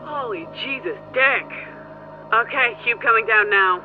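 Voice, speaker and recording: An actress playing a brash, foul-mouthed woman on a technical crew, loud and exasperated in an audio drama. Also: fairly high pitch, a little slow, phone-quality sound, soft background music, lightly acted. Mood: exasperated, alarmed. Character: brash, foul-mouthed female crew member working a winch.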